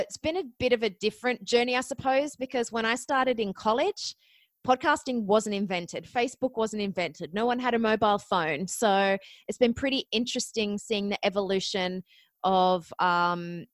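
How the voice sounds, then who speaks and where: A woman in her forties with an Australian accent, chatting as a podcast guest and telling her story in a quick, lively way. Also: medium pitch, no echo. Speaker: podcast guest, media producer. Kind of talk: casual interview chat.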